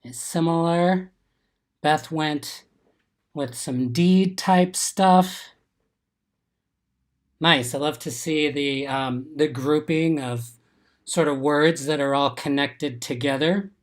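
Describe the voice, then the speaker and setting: A man's voice: a little high, slow pace, pauses often. Congested upbeat male webinar host. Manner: upbeat, encouraging, quizzes viewers from chat. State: congested, getting over an illness. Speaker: exam prep webinar host. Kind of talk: live webinar teaching, reading chat.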